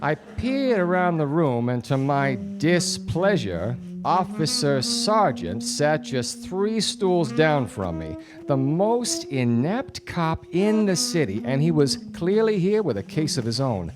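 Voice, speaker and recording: An actor playing a self-important 1940s detective, narrating in deadpan, theatrical radio noir style. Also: male voice, fairly high pitch, slow pace, few pauses. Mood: dry, confiding. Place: theatre stage before studio audience. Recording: live radio play, music behind.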